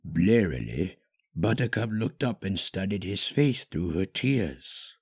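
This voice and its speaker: Deep, husky, expressive male storyteller. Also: takes it slowly. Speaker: fan-fiction narrator. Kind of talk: dramatic scripted story narration.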